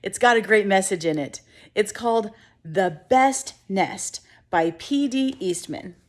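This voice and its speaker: A middle-aged woman reading a children's picture book aloud as storyteller, expressive and deliberate. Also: mid-range voice. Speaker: storyteller and channel host.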